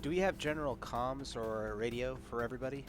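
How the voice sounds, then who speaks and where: A man's voice: mid-range voice, quick pace. A man playing a tabletop roleplaying game, talking casually as his character. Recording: music clearly under the voice. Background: clear background music.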